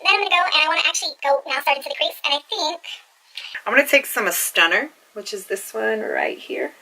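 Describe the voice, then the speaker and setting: A woman's voice: high voice, expressive, fast, bright, forceful tone, low-quality recording. A woman talking through a makeup tutorial at home, chatty and gushing as she gives step-by-step instructions. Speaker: beauty vlogger.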